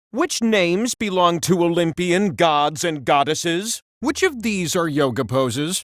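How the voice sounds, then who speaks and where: Campy immortal game show wheel, super high and swoopy, showy and full of himself, really dry studio sound. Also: male voice, a bit bright, dry recording, full character voice. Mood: playful, showy. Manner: playful, showy, self-important asides. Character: immortal talking game-show wheel, boastful, campy male trivia host. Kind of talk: theatrical scripted game-show hosting.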